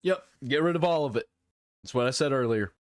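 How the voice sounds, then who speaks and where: A man giving casual reaction commentary in a blunt, emphatic way, speaking in short, halting phrases. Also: mid-range voice, dry recording, studio quality. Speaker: reaction video host.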